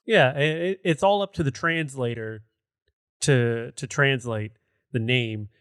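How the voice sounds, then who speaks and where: A man's voice: medium pitch, a little slow, a bit bright, clean tone, no echo, studio quality. A man co-hosting a gaming podcast, chatting casually in a conversational, rambling way. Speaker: podcast co-host.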